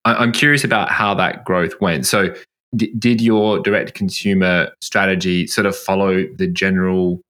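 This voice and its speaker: A man hosting a podcast interview, chatty and casual, tossing in quick reactions. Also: sits low, flat delivery, fairly bright tone. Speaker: podcast host.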